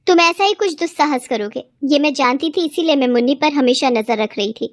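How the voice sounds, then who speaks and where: A woman's voice: high voice, no room sound, clean studio sound, lightly acted. A woman voicing a protective, motherly woman in a cartoon moral story, firm and caring. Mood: scolding, firm.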